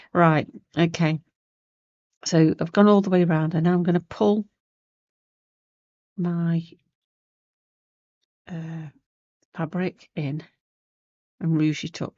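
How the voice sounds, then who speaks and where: A woman's voice: sits low, dark tone, no echo, highs cut off. A woman with a sniffly cold, chatting casually as she demonstrates a sewing technique, rambling and losing her thread at times. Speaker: crafting channel host. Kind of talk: casual sewing tutorial chat.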